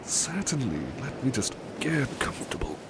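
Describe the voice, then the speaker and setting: A man playing a devoted, polite butler in a soft-spoken ASMR roleplay, gentle and soothing, often dropping into a murmur or whisper. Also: mid-range voice, sing-song, normal speed, noisy background, close to an everyday voice. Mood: polite, bashful. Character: devoted, tender, polite male butler servant.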